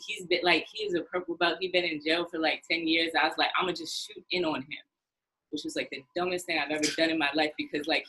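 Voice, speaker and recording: A woman hosting a podcast, telling stories in casual chat, animated and full of "like". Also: on the low side, slightly rough, rough recording.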